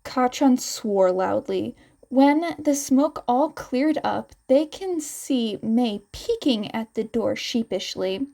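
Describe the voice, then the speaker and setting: A woman's voice: fairly high pitch, expressive, slow pace, creaky, mellow. A woman reading scripted fan fiction as a narrator. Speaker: podfic narrator and reader.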